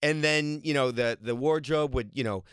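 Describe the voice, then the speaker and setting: Man, mid pitch, casual rambling storyteller, dry close mic. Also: normal speed, clear, resonant tone, no room sound. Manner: rambling, animated storytelling with laughs. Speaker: actor and director. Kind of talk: casual interview talk.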